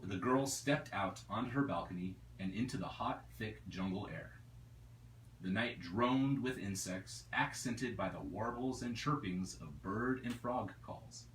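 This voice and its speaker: A man reading his own novel aloud as a storyteller, in a deliberate, expressive narrating style. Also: lowish voice. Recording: roomy echo, distant mic, faint noise.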